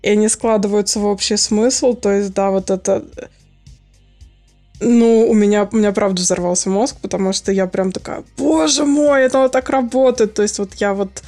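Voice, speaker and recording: A woman's voice: medium pitch, fairly even intonation, normal speed, mellow, faint music behind. A woman guest on a podcast, chatting casually with many fillers and frequent laughs. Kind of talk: casual chat.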